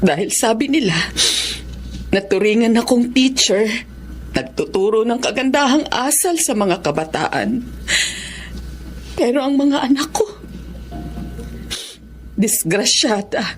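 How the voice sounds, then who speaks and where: A woman's voice: on the high side, lively intonation, takes it slowly, long pauses. An actress playing a middle-aged schoolteacher and mother, speaking earnestly and with emotion. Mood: hurt. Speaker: teacher and mother. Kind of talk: Tagalog radio-style drama acting.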